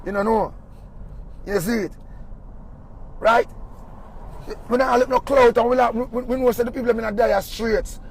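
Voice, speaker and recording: A man's voice: very high-pitched, fairly even intonation, moderate pace, pauses often, slightly rough. A man with a Jamaican accent ranting angrily, swearing and firing questions at the viewer. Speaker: vlogger ranting about stolen cargo. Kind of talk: angry rant.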